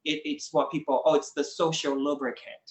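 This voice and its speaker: A man's voice: on the high side, level tone, moderate pace, reverberant. Earnest Brazilian man, reflective talk. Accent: Brazilian Portuguese. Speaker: guest. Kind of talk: casual conversation.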